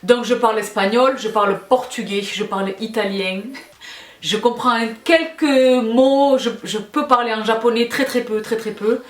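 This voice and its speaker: A woman's voice: mid-range voice, steady tone, normal speed, few pauses. Effusive woman in an echoey room. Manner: effusive, affectionate, emphatic with repeated phrases. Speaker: online video host. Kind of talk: casual question-and-answer chat to followers. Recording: echoey, roomy sound.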